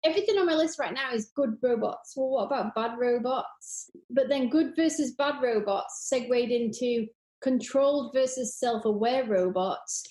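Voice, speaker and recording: A woman with a fairly high voice talks in a roomy, echoey space. She chats casually and enthusiastically, rambling from one thought to the next and gushing as she goes. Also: normal speed, darkish tone. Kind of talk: casual chat.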